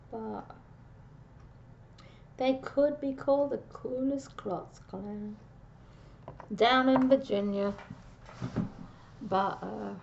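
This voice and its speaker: A woman giving a casual, meandering psychic reading, pausing and restarting often, on a dull lo-fi recording. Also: fairly high pitch, creaky, a little mellow, a little hiss or hum. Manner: meandering, with frequent restarts and pauses. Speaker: psychic reader.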